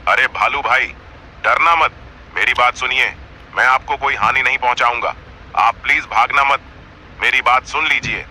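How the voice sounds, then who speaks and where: A man's voice: mid-range voice, normal speed, sharp tone, slight background noise, pitched below the performer's own voice, poor audio. A man voicing a proud lion king of the jungle, by turns imploring and imperious, in a cartoon fable. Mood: coaxing.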